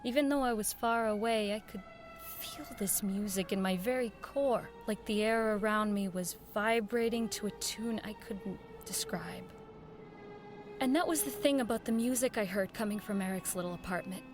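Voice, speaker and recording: Actress as an earnest radio drama heroine, music behind. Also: female voice, medium pitch, moderate pace, close to an everyday voice. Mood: haunted, awed. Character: Helen, earnest, nervous woman working in network radio. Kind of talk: radio drama dialogue and first-person narration.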